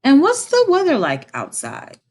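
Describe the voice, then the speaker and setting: A brisk gentleman rat from a storybook, read by a woman. He speaks in an inquisitive way, with lively rises and falls in pitch. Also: full character voice. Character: brisk male water rat, questioning gentleman animal.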